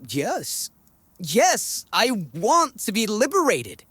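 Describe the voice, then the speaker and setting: Nervous young male recruit, eager but hesitant, very slow, hugely varied pitch, acted by a man, fantasy audio drama. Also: huge pitch swings, pushed and bright, husky, no echo, heavily acted. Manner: hesitant, stammering.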